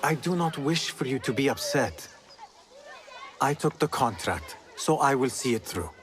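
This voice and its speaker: A man's voice: mid-range voice, sing-song, unhurried, a bit bright, a faint crowd. A man playing a confident, teasing young street thief in a video game. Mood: earnest. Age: young adult. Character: cocky, playful, warm-hearted young male street thief. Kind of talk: dramatic video game acting.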